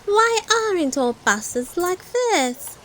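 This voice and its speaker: A woman's voice: very high-pitched, very animated, slow, fairly bright tone, slight background noise, pitched above the performer's own voice, exaggerated voice. A woman voicing a cheerful, eager, childlike little girl pig in a children's storybook. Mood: enthusiastic.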